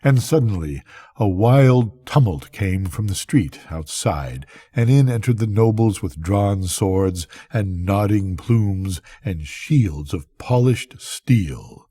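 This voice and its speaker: Older man reading slowly, husky and mellow. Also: lowish voice, animated, dry recording, clean studio sound. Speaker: storyteller. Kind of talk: bedtime story reading.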